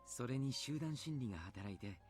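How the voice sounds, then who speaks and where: An actor voicing a calm, polite adult male doctor who speaks in a soft, measured, formal way. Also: mid-range voice, soft background music, lightly acted. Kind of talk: Japanese game voice acting.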